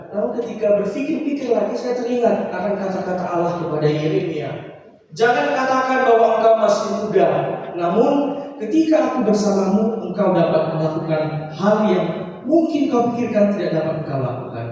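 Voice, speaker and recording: Man in his 20s, priest, chatty and self-mocking, slightly high voice, distant mic, roomy hall echo. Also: expressive, a little fast, darkish tone, a little hiss or hum. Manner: nervous, self-joking and chatty. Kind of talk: prayer-meeting talk. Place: church hall.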